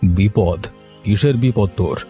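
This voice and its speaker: A man's voice: fairly low pitch, measured pace, dark tone, breathy edge. A man voicing a wealthy, brusque and dismissive village uncle in a cartoon story. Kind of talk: Bengali children's cartoon story dialogue. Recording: music playing under the voice.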